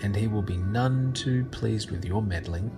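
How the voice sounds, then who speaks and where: A man's voice: lowish voice, a little slow, over music. An actor playing an earnest, thoughtful ordinary man in an audio drama, slightly hesitant in his delivery. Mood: earnest, accusing. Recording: noisy ambience behind the voice.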